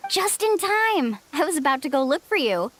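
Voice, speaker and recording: Upbeat young guide, lively high-ish voice. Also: female voice, moderate pace, a little hiss or hum. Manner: encouraging, earnest. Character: upbeat, confident young female adventure guide, friendly and assured. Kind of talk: animated game voice acting.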